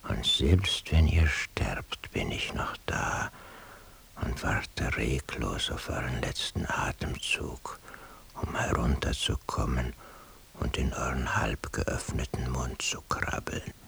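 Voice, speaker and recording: An actor playing an obsessive, bitterly servile eavesdropper in a radio play monologue, husky and intense. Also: male voice, extremely low pitch, fairly bright tone, heavily acted. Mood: menacing, brooding. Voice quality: husky, gravelly, breathy half-whisper. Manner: intense, deliberate, theatrical.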